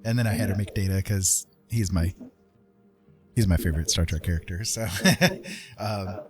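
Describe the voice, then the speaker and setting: A man's voice: deep voice, normal speed, over music. A man hosting a livestream in casual chat, rambling and restarting his sentences as he goes. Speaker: livestream host.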